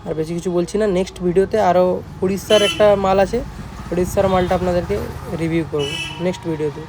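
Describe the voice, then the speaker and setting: A man's voice: high voice, fairly even intonation, fast, background noise. A man with a West Bengal Bengali accent casually showing his repair work as a shop owner, informal and repetitive, often checking in with listeners. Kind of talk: casual product showcase vlog.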